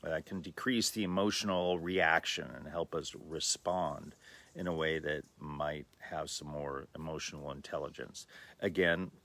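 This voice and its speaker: Sixty-something guy from LA, super low voice with some fry, slow reflective talk, lots of ums. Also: fairly even intonation, fairly bright tone. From Los Angeles area, USA. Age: 60s. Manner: slow and thoughtful, many pauses and fillers. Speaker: livestream host.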